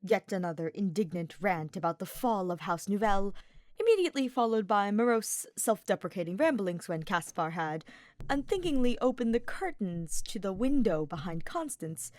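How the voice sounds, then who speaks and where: A woman's voice: mid-range voice, lively intonation, normal speed, a little mellow, no room sound. Woman narrating fiction in a dry recording. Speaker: audiobook-style reader of fan fiction. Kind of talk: scripted story narration.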